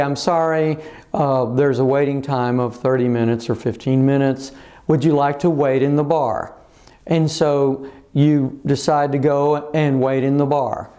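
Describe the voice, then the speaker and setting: Older man, mellow measured attorney voice. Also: mid-range voice. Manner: measured, with frequent "uh" pauses. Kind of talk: legal explainer to camera. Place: office with bookshelves, indoors. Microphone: lapel mic.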